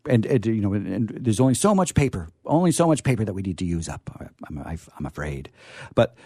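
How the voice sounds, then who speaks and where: A low-voiced man hosts an informal talk before an audience. His tone is soft and mellow, picked up dry and close. He hesitates, repeats phrases for emphasis and slips into playful formality. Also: very animated, fast talker, no echo. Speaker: library staffer hosting a discussion.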